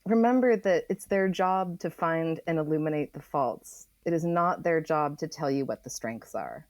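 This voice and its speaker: A woman's voice: low voice, normal speed, a little mellow, no room sound. Frank woman, dry close sound. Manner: frank, wry, reassuring. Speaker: writer, podcast guest. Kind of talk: casual conversational interview.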